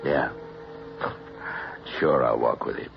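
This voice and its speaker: Weary frontier marshal, American accent, bass voice, gravelly, measured authority, dull old radio recording. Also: male voice, fairly even intonation, slight background noise, full character voice. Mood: concerned. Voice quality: deep, gravelly. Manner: laconic, measured, reluctant. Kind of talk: 1950s western radio drama acting.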